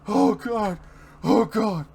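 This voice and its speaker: A man's voice: sits high, slow, gravelly, darkish tone, slight background noise, voice pushed up, heavily acted. A man playing a shaken but principled police commissioner in a comic book dramatic reading, anguished and insistent.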